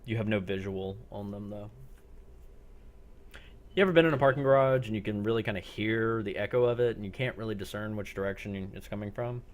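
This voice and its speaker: A man acting as game master, narrating a role-play scene in a halting, descriptive way and putting questions to players. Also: fairly low pitch. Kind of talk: improvised narration of a tabletop-style zombie role-play.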